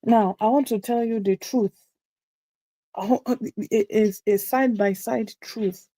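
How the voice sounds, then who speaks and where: A woman's voice: a little high, steady tone, a little slow, mellow, no room sound. A woman coaching a group through a motivational teaching session, speaking emphatically and repeating key phrases for stress. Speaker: visibility coach.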